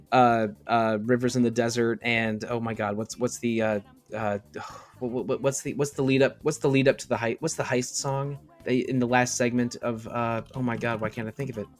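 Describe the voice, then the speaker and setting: Halting, enthusiastic man on a podcast. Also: mid-range voice, flat delivery, soft background music. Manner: halting, restarts and repeats words often. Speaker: podcast panelist. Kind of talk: casual podcast chat about game music.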